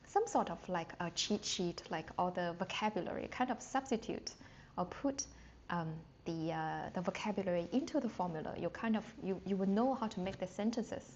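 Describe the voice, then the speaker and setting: A woman's voice: medium pitch, a little mellow. A woman whose first language is Chinese, teaching a beginner language lesson live and chatting with her viewers, full of fillers and restarts. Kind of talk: live-streamed casual lesson with chat questions. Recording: slightly dull sound with faint noise.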